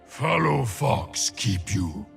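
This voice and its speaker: A weary warrior shaman of the frozen wilds, with a heavily gravelled, creaking voice. He speaks slowly and solemnly, giving each phrase weight, as a video game character over background music. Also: medium pitch, big pitch swings, exaggerated voice. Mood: reflective. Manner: slow, solemn, weighty delivery.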